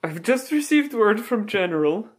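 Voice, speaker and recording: Someone doing a pompy egyptian official guy w fake italian accent, broken grammar, slow and stern, faint room noise. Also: medium pitch, very animated, close to an everyday voice. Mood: bossy. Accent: mock Italian-sounding Middle Eastern accent. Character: pompous ancient Egyptian librarian turned general, man. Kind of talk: improv comedy.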